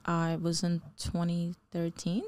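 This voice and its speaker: A woman's voice: fairly low pitch, completely flat delivery, a little slow, a little mellow. A woman in her forties, from Bangladesh and raised in New Jersey, chatting as an interview guest, rambling and laughing often. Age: 40s. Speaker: real estate investor guest. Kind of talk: casual interview chat.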